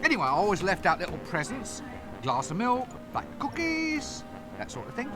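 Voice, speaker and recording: An actor playing a bumbling, cowardly, wisecracking wizard in an adventure game, with a British accent and exasperated, sarcastic delivery. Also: male voice, sits high, huge pitch swings, normal speed. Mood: flustered. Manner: wry, sarcastic asides. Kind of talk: point-and-click adventure game voice acting. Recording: game music behind the voice.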